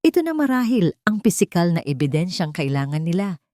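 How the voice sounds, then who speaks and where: A woman storyteller delivers dramatic scripted narration. Her voice sits a little low and moves expressively through a wide pitch range, recorded close and dry. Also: sing-song, a little fast, mellow, dry recording.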